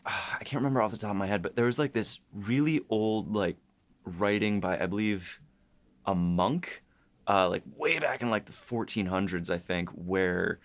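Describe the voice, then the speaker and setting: A man's voice: fairly low pitch, fairly bright tone, dry recording. A man talking casually and enthusiastically as a host and writer in a behind-the-scenes chat. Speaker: podcast host and episode writer.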